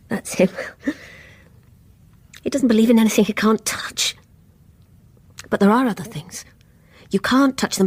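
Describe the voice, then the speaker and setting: A lonely British wife in a radio play, dreamy and troubled. Her voice is a little husky, and she confides in a wistful, sighing way. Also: mid-range voice, a little mellow. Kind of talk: radio drama acting.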